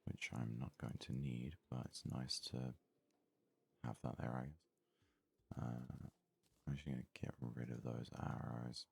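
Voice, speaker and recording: A man doing hushed ASMR gaming commentary with a gravelly, husky voice, casually musing aloud close to the microphone. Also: a bass voice, little pitch movement, slow pace, pauses often. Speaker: let's play host.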